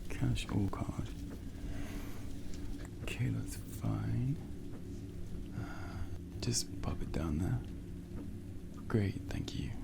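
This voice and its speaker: A man speaking softly and breathily, often near a whisper, in a gentle, unhurried, reassuring ASMR role play as a late-night taxi driver. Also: lowish voice, animated, creaky. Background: rain and car sounds.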